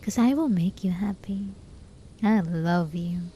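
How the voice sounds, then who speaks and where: A woman playing a lovesick, possessive, desperate hermit spellcaster in a soft, intimate ASMR roleplay, often slipping into whispers. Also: on the low side, slow, slightly rough, exaggerated voice. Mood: pleading, obsessive. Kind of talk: ASMR roleplay, scripted dramatic monologue.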